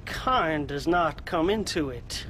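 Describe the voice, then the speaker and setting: An actor playing a paranoid, bigoted old shopkeeper in a western game, drawling slowly and sneering. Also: male voice, on the high side, animated, fairly bright tone, a little hiss or hum. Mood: contemptuous. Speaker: general store owner.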